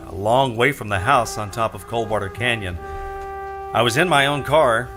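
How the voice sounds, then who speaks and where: An actor playing a tough, sardonic American private detective in the wisecracking style of 1940s radio drama. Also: male voice, medium pitch, lightly acted. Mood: dry narration. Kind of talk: 1940s radio detective drama acting, first-person narration. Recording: old radio recording with music.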